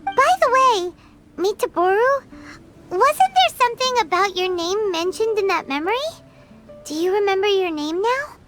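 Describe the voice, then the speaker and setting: Very high, squeaky little fairy. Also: female voice, lively intonation, normal speed. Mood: friendly, cheerful. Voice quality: squeaky, childlike. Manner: cutesy, refers to self in third person. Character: tiny childlike female fairy companion, cheerful, earnest. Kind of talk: scripted video game character dialogue. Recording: loud game music behind.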